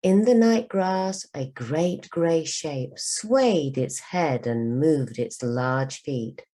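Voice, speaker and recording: A woman with a low voice narrates for young learners. She reads at an unhurried pace, with a lively, expressive rise and fall, like a teacher taking care over each phrase. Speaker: teacher reading a storybook aloud. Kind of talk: scripted storybook narration for learners.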